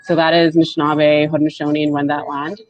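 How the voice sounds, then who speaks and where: A woman in her twenties, speaking softly and haltingly as she tells her story on a video call panel. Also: sits low, little pitch movement, dry recording, faint music behind. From Canada. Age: 20s. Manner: soft-spoken, halting and reflective. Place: indoors at home, on a video call. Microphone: wired earbuds with mic. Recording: dull lo-fi video call audio.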